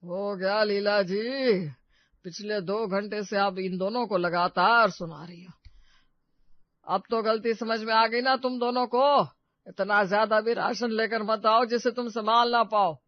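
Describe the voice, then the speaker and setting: A man playing an older father-in-law, a calm, fair family elder with a measured manner in a story. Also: high-pitched, no room sound, muffled recording. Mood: weary, peacemaking.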